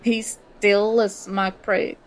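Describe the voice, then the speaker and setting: A woman's voice: medium pitch, flat delivery, takes it slowly, a little hiss or hum, close to an everyday voice, rough recording. A woman acting an indignant, sarcastic young student confronting a former school enemy in an audio roleplay. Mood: scornful. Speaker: ASMR roleplay performer. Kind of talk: ASMR roleplay acting, one side of a dialogue.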